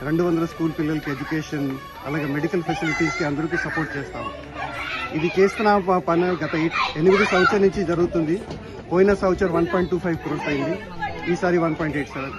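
A man's voice: a little high, steady tone, fast, over loud music, rough recording. A middle-aged man giving an interview, mixing in English words. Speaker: community member being interviewed. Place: outdoors by festival canopy. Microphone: handheld reporter microphone. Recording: loud crowd behind.

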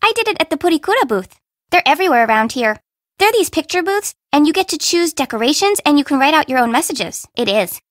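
A woman's voice: high-pitched, sing-song, fast talker, dry recording, studio quality. An actress voicing a flippant, sassy young fashion-minded city girl in a video game, with a teasing, slangy, blunt way of talking. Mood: casual. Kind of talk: video game character dialogue.

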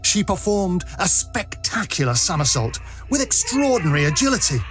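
A man's voice: sits high, big pitch swings, moderate pace, over loud music. A man narrating a ghostly tale for an audio drama in a theatrical storytelling manner.

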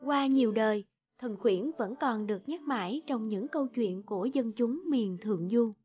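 A woman's voice: fairly high pitch, moderate pace, dull audio. A woman reading scripted storybook narration as the narrator of a folktale.

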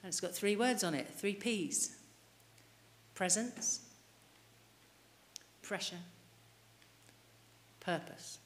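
A woman's voice: mid-range voice, lively intonation, drawn-out words, long pauses. A middle-aged woman preaching a sermon at a church lectern mic, earnestly putting questions to the congregation. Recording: reverberant church hall, light hiss.

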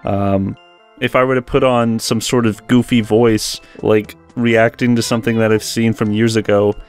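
A young man talks into a desk mic in a small bedroom setup, giving loose, self-deprecating commentary over old gameplay footage. His delivery is unscripted and candid, and soft music plays faintly underneath. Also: on the low side, little pitch movement, normal speed, clear, resonant tone. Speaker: gaming channel host. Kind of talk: post-recorded gameplay commentary.

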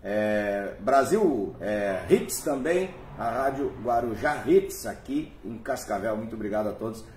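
A man hosting a live music stream, warmly thanking viewers by name in a casual, chatty way. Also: lowish voice, lively intonation, measured pace, hardly any pauses. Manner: warm, chatty, reads out viewer names and thanks them. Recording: echoey room from far off, faint noise.

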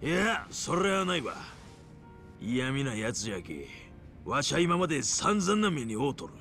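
A man's voice: medium pitch, sing-song, moderate pace, vocal fry, fairly bright tone, faint music behind. A man playing a blunt, anxious yakuza with a Hiroshima accent in a video game drama. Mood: exasperated.

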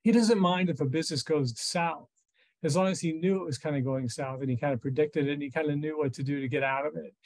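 American guy in his 50s, slightly high voice, chatting like a finance expert on a video call. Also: fast, a little mellow. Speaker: financial journalist interviewed as expert. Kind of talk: conversational expert commentary. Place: small furnished room at home.

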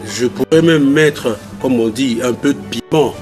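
A man in his fifties from Kinshasa, a teacher speaking about a former student in a measured way with many pauses. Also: medium pitch, over music. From Kinshasa, Congo. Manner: measured, with pauses and set phrases. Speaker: teacher giving a testimonial. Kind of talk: testimonial interview. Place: outdoors in a garden.

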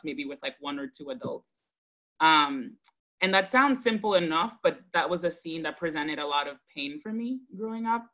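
Woman in her 30s sharing her story on a video call, earnest n thoughtful, kinda dull lo-fi audio. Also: sits low. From Dominican Republic, raised in Boston from age five. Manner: earnest, measured, thoughtful with deliberate pauses. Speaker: guest speaker, education advocate. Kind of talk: reflective personal storytelling to an online audience.

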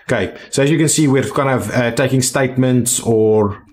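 Man doing casual, halting game commentary. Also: medium pitch, darkish tone. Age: adult. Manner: halting, thinking aloud. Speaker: gaming video host.

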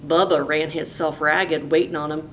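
A woman teacher reading a picture book aloud in a folksy, animated storytelling way. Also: moderate pace. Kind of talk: expressive storybook read-aloud. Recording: noisy with low rumble.

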